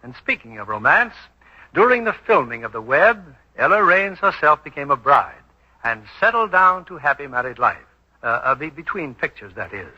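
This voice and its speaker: Sharp, husky 1940s male radio host. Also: a little high, sing-song, moderate pace. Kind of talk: 1940s radio announcing, scripted narration. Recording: dull 1940s radio recording.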